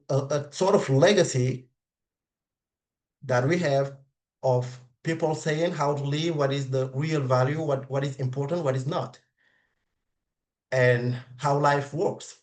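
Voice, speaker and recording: A man whose first language is French, speaking English on a video call with a French accent and halting, with many restarts and repeated words as he reflects aloud. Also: on the high side. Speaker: webinar participant. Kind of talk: reflective spoken comment.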